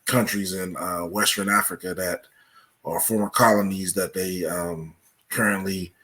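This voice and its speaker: Low-voiced man, halting explainer. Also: steady tone, pushed and bright. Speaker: interview guest, researcher. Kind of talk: explanatory interview talk.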